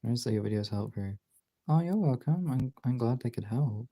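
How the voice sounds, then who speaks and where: Casual British streamer: mellow, creaky delivery, sweary, thinking out loud to chat. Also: medium pitch, lively intonation, brisk, no room sound. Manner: informal, sweary, thinking aloud. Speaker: live streamer drawing and chatting with viewers.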